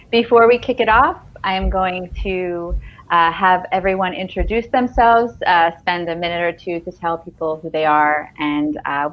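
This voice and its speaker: A woman's voice: lowish voice, muffled recording. A woman hosting a casual online panel, chatty and laughing, with many fillers. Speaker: host. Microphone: video call.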